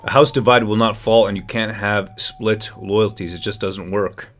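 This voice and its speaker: A man's voice: on the low side, fairly even intonation, moderate pace. A man hosting a political commentary livestream, speaking emphatically and straight to his viewers. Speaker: livestream host.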